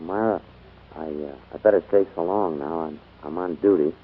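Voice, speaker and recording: Schauspieler als zurückhaltender Seemann, tiefe Stimme. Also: male voice, low voice, moderate pace, a little hiss or hum, lightly acted. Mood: awkward, subdued. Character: reserved, awkward, knowledgeable navy man. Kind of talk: 1940s radio acting. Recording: tinny 1940s radio recording.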